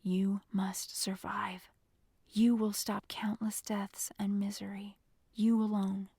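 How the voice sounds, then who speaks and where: A woman voicing a protective young male agent in an audiobook, speaking in a calm, urgent, commanding whisper. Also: mid-range voice, a little slow, creaky, breathy edge, no echo, clean studio sound. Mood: urgent, reassuring. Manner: hushed, reassuring, commanding whisper. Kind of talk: audiobook character dialogue.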